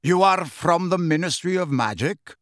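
A man voicing a proud, stern male centaur leader in a storybook, rough and haughty, with emphatic delivery. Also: on the high side, lively intonation, moderate pace, no echo, studio quality, voice pushed up, close to an everyday voice. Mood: stern, demanding. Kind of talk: audiobook character voice.

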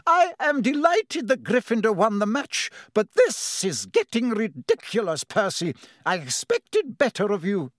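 A man's voice: high voice, big pitch swings, slow, no room sound, clean studio sound, voice pushed up, exaggerated voice. A man voicing a stern older woman schoolteacher, outraged and formal, with clipped scolding delivery for a storybook. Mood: stern, exasperated.

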